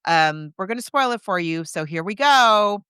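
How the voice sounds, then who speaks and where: A woman's voice: mid-range voice, animated, moderate pace, pushed and bright, clear, resonant tone, no room sound, clean studio sound. A woman co-hosting a podcast, recapping a film in casual chat with a conversational storyteller's manner. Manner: conversational storytelling, acting out characters' reactions. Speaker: podcast co-host.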